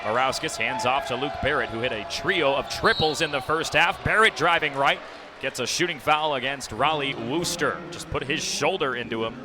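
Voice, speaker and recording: A man's voice: medium pitch, normal speed. A young man calling basketball play-by-play for radio in clipped phrases, with emphatic calls on the big plays. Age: young adult. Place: indoor basketball arena. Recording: noisy live arena broadcast. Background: arena noise, bouncing ball.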